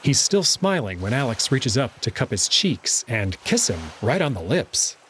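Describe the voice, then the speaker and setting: A man's voice: medium pitch, very animated, moderate pace, fairly bright tone, slight background noise. A man reading scripted first-person narration from a visual novel. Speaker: narrator and reader.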